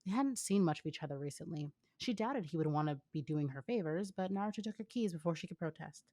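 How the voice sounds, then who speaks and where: A woman's voice: fairly low pitch, lively intonation, fast, warm tone. A young adult woman co-hosting a fan fiction reading, narrating with casual asides.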